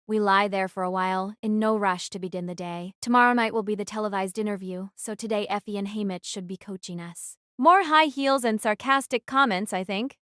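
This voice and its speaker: A woman's voice: mid-range voice, fairly bright tone, clean tone, no room sound, studio quality, close to an everyday voice. A woman playing a defiant, brooding teenage girl who narrates her own story in an audio drama. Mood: tender, reflective. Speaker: narrator and lead actress.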